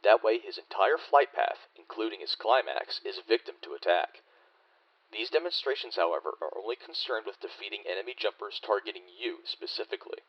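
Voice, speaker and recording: Guy putting on old timey wartime instructional film narrator, formal and measured, lowish voice, muffled old radio mic sound. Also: animated, dry recording. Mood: formal, instructive. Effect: old microphone radio filter. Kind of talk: scripted 1940s training film narration.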